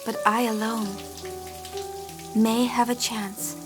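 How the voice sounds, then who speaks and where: An earnest young female scientist in a video game drama. Her voice is fairly high and her delivery slow and deliberate, with music playing behind her. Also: little pitch movement. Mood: solemn, brooding. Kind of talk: English dubbed video game cutscene acting.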